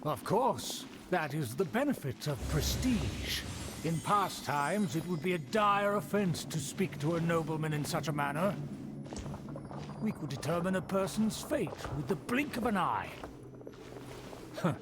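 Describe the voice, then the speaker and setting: An actor playing a haughty young male aristocrat who speaks in a formal, pompous, drawn-out way, heard as game audio. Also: a little high, lively intonation. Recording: game audio with clear noise.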